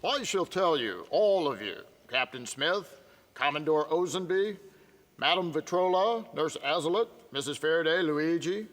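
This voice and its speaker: An actor playing a small-town banker who rehearses as a radio-mystery inspector, speaking deliberately with measured pauses. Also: male voice, a little high, animated, a bit bright. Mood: grandly suspenseful. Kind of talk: film acting, mock radio-mystery delivery. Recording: reverberant hall, music behind.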